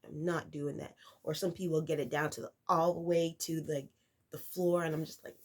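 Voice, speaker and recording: A woman's voice: low-pitched, flat delivery, normal speed, a little mellow. A woman vlogging casually to camera, congested and nasal from a cold, rambling with lots of fillers and restarts. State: stuffy nose from a cold.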